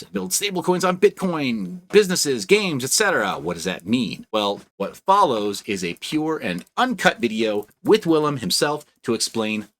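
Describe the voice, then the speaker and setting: A man with a fairly low voice hosting a podcast, opening the episode briskly and with energy. His sound is dry and close to the mic. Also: fairly bright tone, no room sound. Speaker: show host. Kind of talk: podcast intro and interviewing.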